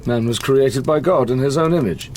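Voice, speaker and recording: A man's voice: mid-range voice, normal speed, background noise, close to an everyday voice. A man playing an anguished, accusing young scientist in an audio drama. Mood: accusing, defensive.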